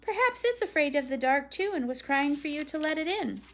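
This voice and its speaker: A woman's voice: high voice, animated, a little fast, voice pushed up. Patient joking dad, dry and kind, voice bouncing around, read by a woman. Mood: gently teasing. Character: calm, teasing father, a lawyer.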